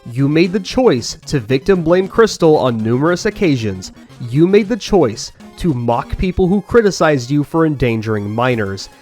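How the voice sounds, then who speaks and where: A man's voice: fairly high pitch, very animated, normal speed, a little mellow, soft background music. A man delivering scripted commentary as a guest, in an accusatory, emphatic manner.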